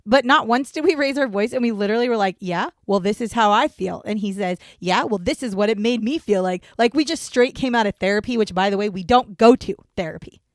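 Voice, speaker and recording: A woman's voice: medium pitch, a little fast, hardly any pauses, no echo, studio quality. A woman in her forties co-hosting a podcast in casual banter, chatty, laughing often and swearing freely, with rambling asides. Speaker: podcast co-host.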